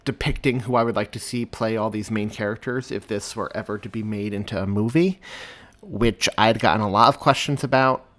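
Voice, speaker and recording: A man's voice: fairly low pitch, fairly quick, a bit bright. A man hosting a podcast, talking casually to listeners in a folksy way. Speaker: podcast host.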